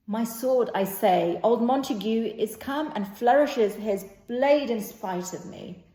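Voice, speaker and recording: A woman playing a hot-headed old lord in an amateur stage play reading, exclaiming in a halting way. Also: a little high, warm tone. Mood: indignant. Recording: echoey room.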